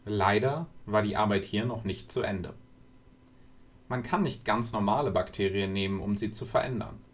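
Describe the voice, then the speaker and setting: A man's voice: fairly low pitch, normal speed. A man reading scripted narration for an educational science film, explaining and storytelling as he goes.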